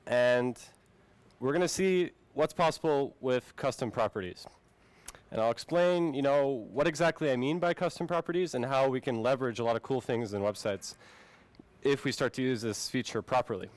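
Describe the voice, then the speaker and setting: A young man born in Finland presents a tech talk in a friendly, relaxed way. His voice is smooth and clear at a middle pitch, and the sound is dry and close to the mic. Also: moderate pace, no room sound. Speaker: conference speaker.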